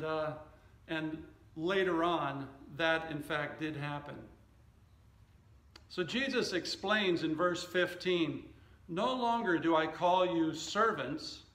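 A male pastor in his fifties delivers a sermon in an echoey room. His voice sits a little high for a man, and he moves very slowly, setting out each short phrase with deliberate care. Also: fairly bright tone. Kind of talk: sermon with scripture reading and anecdotes. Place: indoor church stage at a wooden lectern.

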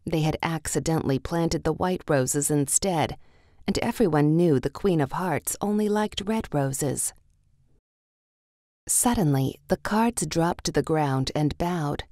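A woman with a low voice narrates a children's storybook. She reads the scripted text slowly, in read-along style, and the recording is very dry and close. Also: warm tone, no echo.

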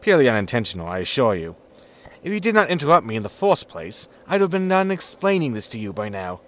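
A man playing a weary, dry-witted spaceship captain in a sci-fi comedy audio drama, deadpan in manner. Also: medium pitch, fast talker, close to an everyday voice.

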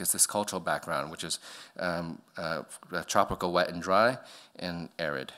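A middle-aged architect, a man born in Vietnam and raised in the US, gives a slide lecture from a podium in an auditorium, pausing often with fillers and restarts. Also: on the low side, fairly bright tone. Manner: hesitant, many fillers and restarts. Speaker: architect giving a talk. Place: dark auditorium stage at a podium.

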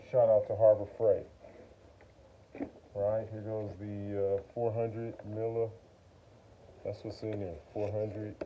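A noisy outdoor phone recording of a middle-aged man with a fairly low voice. He talks informally and haltingly as he works, explaining things in folksy, slangy terms. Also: narrow, tinny audio. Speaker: vlogger demonstrating generator maintenance. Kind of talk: casual how-to chat while working. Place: outside by a wooden porch.

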